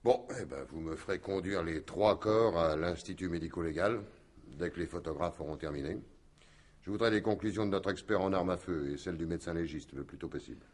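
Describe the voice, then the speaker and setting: A man's voice: low-pitched, a little fast, darkish tone. A man playing a courteous, methodical, slightly weary police commissioner in a radio crime drama, murmuring and repeating short phrases. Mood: brisk, businesslike. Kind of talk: 1950s-style French radio crime drama acting.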